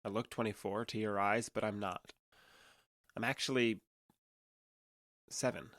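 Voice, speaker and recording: A man's voice: fairly low pitch, steady tone, a little slow, a bit bright, dry recording, clean studio sound. An American man playing a guarded, sincere alien who passes for a young adult, explaining himself hesitantly in a naturalistic audio drama. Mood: hesitant, earnest.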